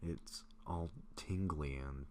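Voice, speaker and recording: Man playing a very deep-voiced, gentle vampire. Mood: tender. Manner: soft, halting, confiding, sometimes whispering. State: sick, occasional coughing. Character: gentle sick male vampire, affectionate, self-doubting best friend. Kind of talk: intimate roleplay audio drama. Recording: faint steady background noise.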